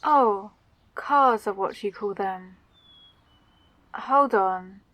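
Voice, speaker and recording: Woman playing a slow-spoken, friendly female mage. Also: mid-range voice, no echo. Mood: curious, eager. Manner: gentle, eager, attentive questioning. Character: friendly, caring, curious female mage from fantasy world. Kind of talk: roleplay audio drama for the listener.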